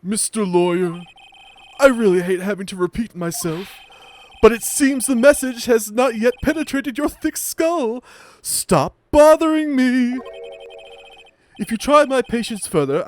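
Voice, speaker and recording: A man's voice: very high-pitched, unhurried, a little mellow, slight background noise, voice pushed up. A man voicing a pompous, condescending and menacing businessman villain in an amateur video game dub. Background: game music.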